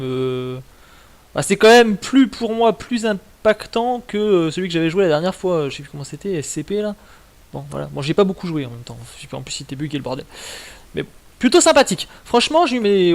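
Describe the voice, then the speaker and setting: A man's voice: high voice, lively intonation, normal speed. A man giving casual, slangy, mildly sweary commentary as a video game streamer. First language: French.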